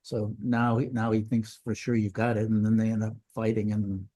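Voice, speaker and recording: A man's voice: lowish voice, flat delivery, moderate pace, dark tone. An older man chatting about a book in a casual, rambling way. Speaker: book club member.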